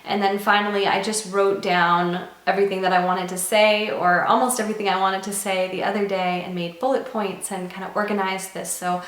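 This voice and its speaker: A woman's voice: medium pitch, flat delivery, brisk, hardly any pauses, fairly bright tone, reverberant, slight background noise, rough recording. Chatty woman, nasal from a cold. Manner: chatty and earnest, with light laughs. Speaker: beauty vlogger. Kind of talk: casual chat to camera.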